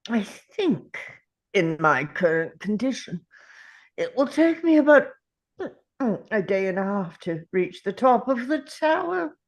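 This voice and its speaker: Slow, weary dying alien lord, acted out in a read. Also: medium pitch, big pitch swings. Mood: pained, resigned. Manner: halting, sighing, pained delivery. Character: dying male alien Time Lord, grim, weary, stoic. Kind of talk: dramatic monologue in a script table read.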